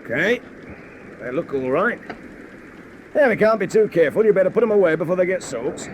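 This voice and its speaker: Brusque, high-pitched army captain. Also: male voice, expressive, a little fast, background noise. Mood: probing, bluff. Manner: bossy, dismissive. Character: brusque, commanding British army captain on a manhunt. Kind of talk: radio drama acting.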